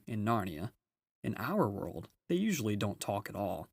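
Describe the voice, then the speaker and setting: Male storybook narrator, fairly deep, reading to children in a dry, echo-free space. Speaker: audiobook reader.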